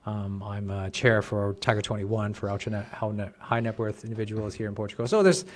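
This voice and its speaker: A Canadian man in his forties, a guest on a podcast, telling his story in casual chat with many restarts and quick laughs. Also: lowish voice. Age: 40s. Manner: fast, rambling, restarts and laughs. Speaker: podcast guest, chiropractic coach.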